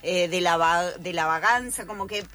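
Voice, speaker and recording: A woman hosting a live radio show, relaxed and chatty, laughing easily and tripping over words now and then. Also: on the low side, moderate pace. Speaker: radio host. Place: radio studio.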